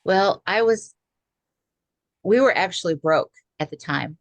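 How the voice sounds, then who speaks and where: A woman in her sixties talks casually on a video call, leaning on "um" fillers. Her voice sits low. The sound is dry and close. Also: animated, unhurried, no room sound. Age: 60s. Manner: chatty, many "um" fillers. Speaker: guest speaker.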